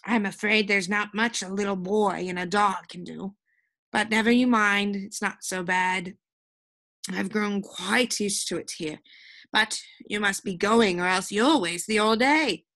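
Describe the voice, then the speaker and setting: A woman voicing a kindly, resigned old witch from a storybook, speaking in a halting, motherly, reassuring way. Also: medium pitch, a bit bright. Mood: gently resigned.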